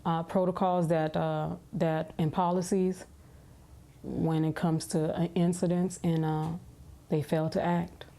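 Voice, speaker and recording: Low, flat, muted woman. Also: measured pace. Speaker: corrections officer being interviewed. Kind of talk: emotional interview testimony. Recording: faint background noise.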